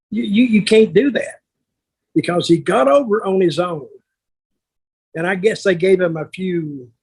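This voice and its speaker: An older man with a husky, raspy voice that sits a little high. He tells anecdotes casually, like a veteran on a podcast, blunt and salty, slipping into remembered exchanges. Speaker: veteran wrestler telling stories on a podcast.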